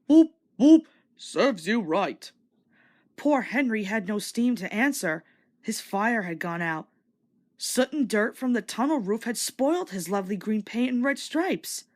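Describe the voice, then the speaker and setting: A woman reading a children's story aloud as an expressive, scripted read-along narrator. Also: medium pitch.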